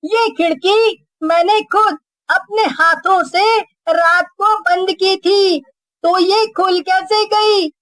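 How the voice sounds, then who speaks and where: Woman as a very high-pitched, timid little boy. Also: fairly bright tone, no room sound, heavily acted. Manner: anxious, childlike questioning. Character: timid, frightened young boy in a horror tale.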